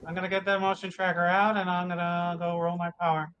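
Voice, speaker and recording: Male tabletop player, slightly high voice, casual game chatter, faint noise behind. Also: level tone, quick pace, a bit bright.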